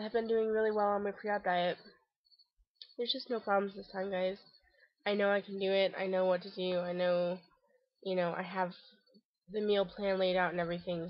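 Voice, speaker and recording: A woman's voice: medium pitch, no echo, dull audio. A woman with a congested, stuffy nose gives a chatty, rambling video diary update, full of ums and restarts. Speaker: vlogger. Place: covered back deck outdoors.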